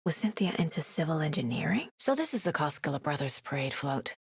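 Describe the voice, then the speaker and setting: An actress playing a level-headed, dry-witted FBI agent in a video game, with a measured, understated delivery as if thinking aloud. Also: female voice, low-pitched, very animated, gravelly, slightly rough, dry recording, heavily acted. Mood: pensive.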